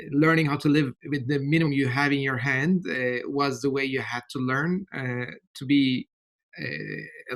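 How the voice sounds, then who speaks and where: A middle-aged man from Turkey with a Turkish accent, giving a podcast interview in a measured, thoughtful way with many hesitations. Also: mid-range voice, a bit bright. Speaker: interview guest.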